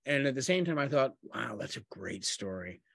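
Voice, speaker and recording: A middle-aged man, a playwright in a talkback discussion on a video call, speaking haltingly and rephrasing often. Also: medium pitch, very animated, creaky, fairly bright tone, no room sound, studio quality. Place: room at home, on a video call.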